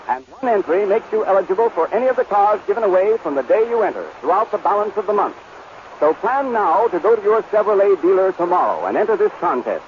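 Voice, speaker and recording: High-voiced American announcer on muffled old radio. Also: male voice. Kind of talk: 1930s radio announcing and sponsor pitch. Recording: muffled, faintly noisy 1930s radio recording.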